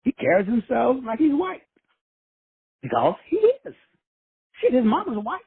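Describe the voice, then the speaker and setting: Male host, high husky voice, profane street-preacher swagger, dry tinny phone audio. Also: no room sound. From Texas. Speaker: host of a call-in show.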